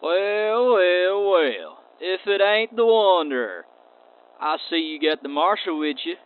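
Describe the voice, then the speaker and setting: A man's voice: high-pitched, lively intonation, slow, voice pushed up. Man playing a taunting outlaw raider, tinny sound. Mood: gloating, taunting. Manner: taunting, folksy drawl, mock-polite. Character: gloating, drawling male outlaw raider villain. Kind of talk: radio play space western acting. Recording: tinny band-limited audio with clear noise.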